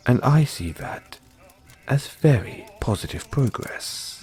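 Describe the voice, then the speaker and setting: A man with a British accent playing a formal, devoted butler who gently chides his young master in an audio roleplay. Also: medium pitch, expressive, over music. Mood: approving, formal. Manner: formal, measured, deferential. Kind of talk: scripted roleplay, intimate second-person address.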